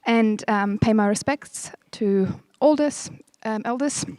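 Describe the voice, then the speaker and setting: A woman's voice: on the high side, slow pace, mellow, no echo. A woman in her thirties with a German accent hosts a workshop, speaking in a chatty, self-deprecating way with many ums. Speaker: event host. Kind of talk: welcome speech at a workshop. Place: event room.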